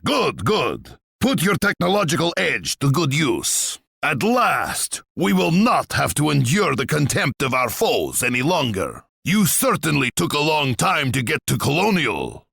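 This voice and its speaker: An actor playing a scheming, imperious Russian tsar in a video game, pompous and menacing, savouring each taunt in a gravelly, raspy voice. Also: male voice, a little high, fairly bright tone, dry recording, clean studio sound, heavily acted. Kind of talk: theatrical strategy game taunts.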